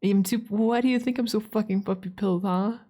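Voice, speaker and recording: A man's voice: high-pitched, fairly even intonation, brisk, dark tone. A man playing an eager, affectionate young puppy-boy roommate in a casual ASMR roleplay, rambling earnestly with stammers and repeated words. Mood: playful, excited.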